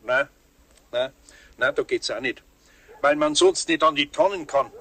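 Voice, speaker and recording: A man's voice: on the high side, a little slow, bright, forceful tone, breathy edge, heavily acted. An actor playing an older, good-natured but grumbling master craftsman with a Bavarian accent in a children's radio play. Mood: firm, apologetic. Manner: exasperated, placating.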